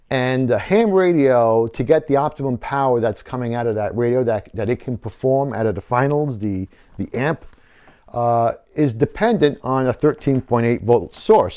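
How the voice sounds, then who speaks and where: A man's voice: medium pitch, a little mellow. A middle-aged man giving an informal technical walkthrough as a hobbyist presenter, explaining things and often correcting himself as he goes. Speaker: radio hobbyist presenting a DIY build.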